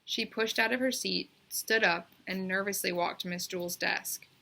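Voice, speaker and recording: A woman's voice: mid-range voice, normal speed, vocal fry, pushed and bright. A woman reading a storybook aloud, narrating expressively for children. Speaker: storybook reader.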